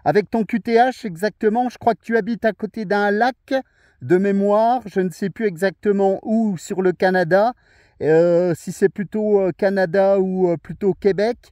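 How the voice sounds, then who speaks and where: A man's voice: high voice, steady tone, normal speed, darkish tone, no echo. A man talking on a portable ham radio from a mountain summit, chatty and friendly with many fillers. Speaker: radio amateur operating outdoors. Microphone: portable radio, filming a video.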